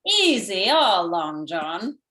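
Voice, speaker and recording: A woman's voice: mid-range voice, big pitch swings, slow, pushed and bright, exaggerated voice. A woman playing an impatient, grumbling male pirate crewman in a radio play reading. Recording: echoey room, remote call.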